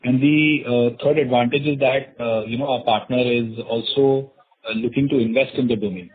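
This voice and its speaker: A husky-voiced man with an Indian accent explains business matters on a conference call. He speaks in measured, halting phrases at a middling pitch. The line is narrow and tinny like a telephone. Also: fairly even intonation. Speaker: company executive answering analysts. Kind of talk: earnings call answers, unscripted explanation.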